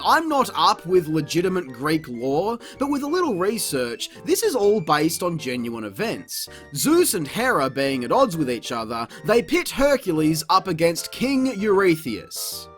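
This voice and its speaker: A man's voice: on the high side, fairly bright tone. A man narrating a scripted comedic review in a sarcastic, crude, mocking manner. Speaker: video reviewer.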